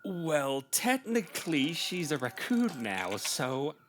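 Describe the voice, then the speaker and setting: A man's voice: on the high side, big pitch swings, takes it slowly, heavy background noise. A man voicing a nervous, wisecracking sidekick with a hesitant manner in a fantasy audio drama. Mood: nervous, wry. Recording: loud music under the voice. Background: loud music.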